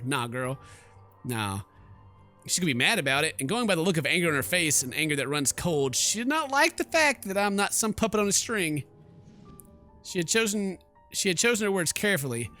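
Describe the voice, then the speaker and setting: A man's voice: on the high side, bright, forceful tone. A man hosting a let's play, reading the game's narration theatrically and breaking into slangy, joking asides. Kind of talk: reading game narration aloud with joking commentary. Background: game music.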